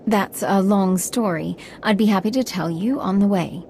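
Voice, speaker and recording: A woman's voice: medium pitch, moderate pace, darkish tone, a little hiss or hum, lightly acted. An actress playing a polite, dutiful young military lieutenant in a video game, respectful in her delivery. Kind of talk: cinematic video game dialogue. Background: music.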